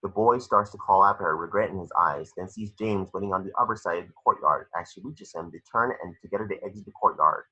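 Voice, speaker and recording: A man narrates stage directions from a script. His voice is low and a bit raspy, with a hard, very bright edge. The recording is a little dull. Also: fast talker, hardly any pauses.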